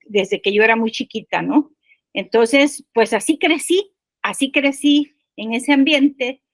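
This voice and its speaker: An older woman speaks as an interview guest. Her voice is on the high side and recorded dry and close. She tells personal stories casually, haltingly repeating words and checking for agreement. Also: gravelly, dry recording. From Guerrero, Mexico. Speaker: podcast guest, poet.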